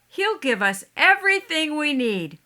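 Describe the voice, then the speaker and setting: A woman's voice: high voice, big pitch swings, a little slow, bright, forceful tone, full character voice. A woman playing a playful, trusting, cheerful young boy with an eager, guileless way of talking in a stage play. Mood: guileless, sincere.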